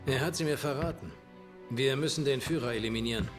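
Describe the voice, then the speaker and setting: An actor playing a cocky, defiant American soldier with a wry manner in a war game cutscene. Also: male voice, mid-range voice, fast, soft background music, close to an everyday voice. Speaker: video game character in cutscenes. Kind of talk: dramatic game cutscene dialogue.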